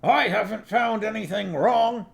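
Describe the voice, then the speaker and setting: Nobby, a gravelly, high-pitched blusterer. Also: male voice, a little slow, heavily acted. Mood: blustering. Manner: blustering, pushy, bossing people about. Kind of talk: audiobook character voice.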